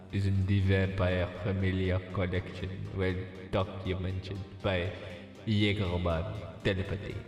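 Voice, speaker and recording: A man voicing a brooding, ancient vampire master from a visual novel, speaking softly in a solemn, formal manner. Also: low voice. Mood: earnest, brooding. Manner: slow, solemn, formal delivery. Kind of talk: visual novel read-aloud with dramatic voice acting. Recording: echoing reverb, music behind.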